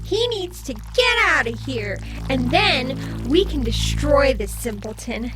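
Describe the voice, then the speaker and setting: A woman's voice: high-pitched, big pitch swings, measured pace, music in the background, poor audio. An actress playing a sweet-sounding but bloodthirsty imaginary female spirit guide. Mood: sweetly menacing. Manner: sugary yet menacing.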